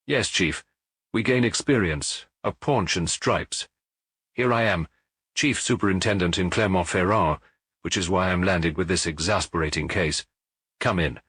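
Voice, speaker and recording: A man's voice: low-pitched, unhurried, a bit bright, husky, dry recording, clean studio sound, heavily acted. A man voicing a jovial, talkative middle-aged police chief superintendent in an audiobook. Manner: hearty, talkative, reporting.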